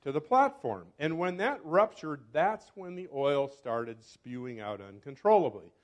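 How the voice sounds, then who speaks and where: An older man, a scientist, giving a casual talk at a club meeting, often correcting himself and pausing. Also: a little high, big pitch swings. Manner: chatty, self-correcting, fumbles with clicker. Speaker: guest speaker, scientist. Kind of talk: informal lecture. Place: meeting hall. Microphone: handheld or podium microphone.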